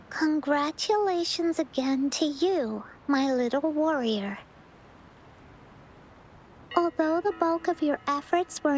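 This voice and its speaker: A woman voicing a sweet, wistful little girl in a video game, gentle and earnest in manner. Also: sits high, a little hiss or hum, low-quality recording. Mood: earnest, curious. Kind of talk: video game character dialogue.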